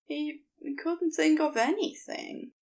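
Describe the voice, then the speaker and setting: A woman's voice: sits high, steady tone, takes it slowly, creaky, a little mellow. A woman narrating scripted fiction expressively, as for an audiobook.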